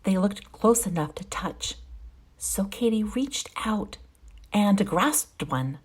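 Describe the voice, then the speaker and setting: A woman teacher reading a storybook expressively to young children, with measured, drawn-out phrasing. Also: medium pitch, expressive, slightly rough. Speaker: kindergarten teacher.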